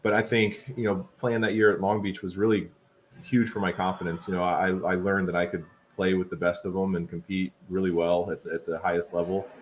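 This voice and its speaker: A man's voice: deep voice, flat delivery, a little fast, a little hiss or hum. A man in his thirties, an assistant volleyball coach, talking casually in an interview with many fillers and restarts. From Portugal.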